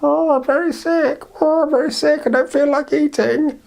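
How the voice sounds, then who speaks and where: A man's voice: very high voice, steady tone, moderate pace, vocal fry, a little mellow, voice pushed up. A man voicing a sick, frail old grandmother in a children's story, feeble and complaining.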